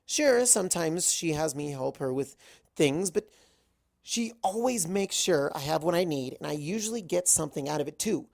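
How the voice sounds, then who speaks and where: A studious young female pony from a storybook, acted out by the narrator. She is earnest and a little defensive, speaking insistently at a raised pitch. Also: lively intonation, moderate pace, gravelly, a little mellow.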